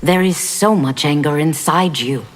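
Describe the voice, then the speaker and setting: A woman's voice: deep voice, measured pace. A woman playing a zealous, righteous demon in a fighting game, solemn, earnest and formal. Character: Ashrah, righteous female demon, zealous foe of evil. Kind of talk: fighting game character acting.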